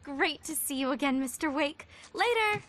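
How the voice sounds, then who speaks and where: A bubbly, starstruck waitress. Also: female voice, high voice, lively intonation, normal speed, fairly bright tone, slight background noise. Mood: gushing. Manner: gushing, chirpy.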